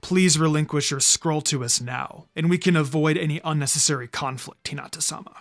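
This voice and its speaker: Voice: medium pitch, fairly quick, pitched below the performer's own voice, lightly acted. A narrator voicing a cold, commanding teenage male ninja with a formal, clipped, unyielding manner in a story reading. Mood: cold, firm.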